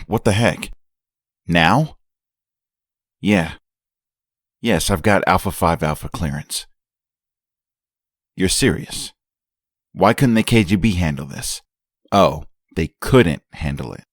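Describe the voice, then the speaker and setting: A man playing a weary, irritated government agent taking a call in a comic dub, halting and exasperated. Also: low voice, expressive, no room sound, clean studio sound, heavily acted. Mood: groggy, irritated. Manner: halting, exasperated phone talk.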